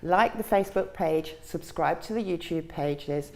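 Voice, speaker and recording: Low-voiced woman hosting a chat show. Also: a little slow, vocal fry. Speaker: host, show founder. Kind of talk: casual live-stream chat.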